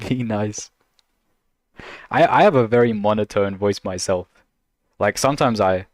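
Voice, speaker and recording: A man's voice: fairly low pitch, dry recording, clean studio sound. A man casually reacting to videos on a stream, laughing a lot and teasing in a slangy, joking way.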